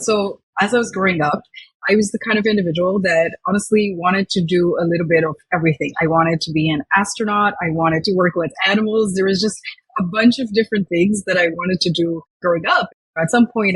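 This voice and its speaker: A young woman from Dubai with a very husky, breathy voice talks as a podcast guest. She tells her story in a chatty, reflective way at an average pitch. The sound is close and dry. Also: no echo, rough recording. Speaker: guest, company founder. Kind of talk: podcast interview.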